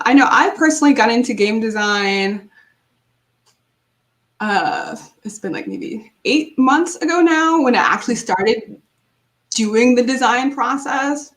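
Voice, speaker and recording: Woman moderating, loose and full of ums. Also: fairly high pitch. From New Jersey. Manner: loose, filler-heavy, frequent ums. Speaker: panel moderator. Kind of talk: casual panel chat.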